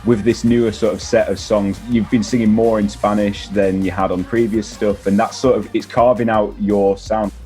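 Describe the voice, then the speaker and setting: A man hosts a relaxed, casual interview. His voice sits on the low side and has a soft, dark tone, with music underneath. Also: normal speed, hardly any pauses. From north-west England. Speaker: radio show host interviewing a band.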